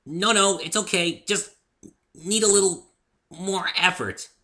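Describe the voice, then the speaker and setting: A bubbly, goofy girl pony from a story, acted out by a man. The voice leaps high and bright, loud and insistent, full of stubborn excitement. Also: a little slow. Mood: straining, cheerful. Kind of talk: dramatic reading of fan fiction.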